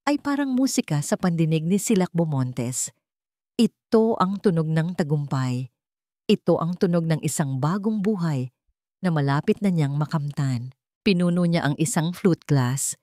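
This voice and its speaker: A woman's voice: fairly low pitch, a little mellow, dry recording, clean studio sound. A woman narrating a dramatic romance story from a script.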